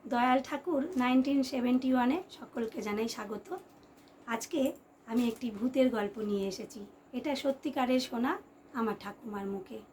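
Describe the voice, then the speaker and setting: A woman tells a ghost story to listeners in an expressive, suspenseful way, repeating phrases for effect. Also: a little high, normal speed, a little hiss or hum. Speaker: storyteller, channel host. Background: background music.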